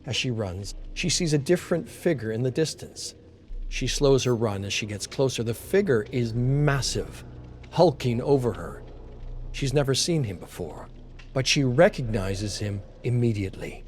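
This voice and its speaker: A man's voice: mid-range voice, lively intonation, normal speed, darkish tone, slight background noise. A man reading a scripted dramatic story as a narrator. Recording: voice over background music. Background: music.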